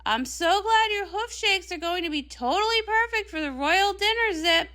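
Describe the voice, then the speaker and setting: A woman's voice: very high-pitched, lively intonation, moderate pace, bright, forceful tone, pitched above the performer's own voice, heavily acted. A woman voicing a dramatic, jealous young pony princess and pop star in a comic read-along, indignant and sarcastic. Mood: sarcastic, upset.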